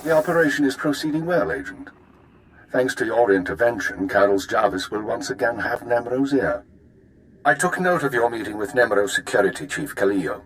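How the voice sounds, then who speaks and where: An actor playing a composed, exacting spymaster who gives measured, precise mission briefings in a video game. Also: male voice, mid-range voice, expressive, fairly bright tone, a little hiss or hum, natural voice, low-quality recording. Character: composed male intelligence handler, formal and exacting.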